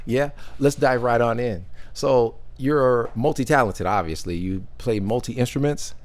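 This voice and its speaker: A man's voice: mid-range voice, measured pace, darkish tone. Upbeat male talk show host. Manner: upbeat introductions, short affirming replies. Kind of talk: casual interview chat.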